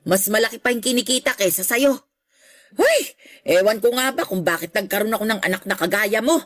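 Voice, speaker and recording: A woman's voice: mid-range voice, fairly quick, no room sound, clean studio sound, close to an everyday voice. A woman playing a middle-aged mother in a radio drama, scolding and sarcastic as she belittles her grown son. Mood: scornful. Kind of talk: Filipino radio drama acting.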